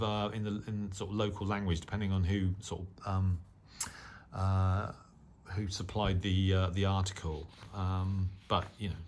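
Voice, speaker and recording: A man hosting a hobby channel, talking casually and unscripted in a halting, conversational way with many filled pauses. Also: on the low side, a bit bright. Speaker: radio hobby channel host. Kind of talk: casual unscripted talk-through of a newsletter.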